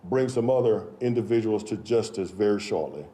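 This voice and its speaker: A man's voice: lowish voice, expressive, moderate pace, dark tone. A man speaking as a police chief, giving an official statement to reporters.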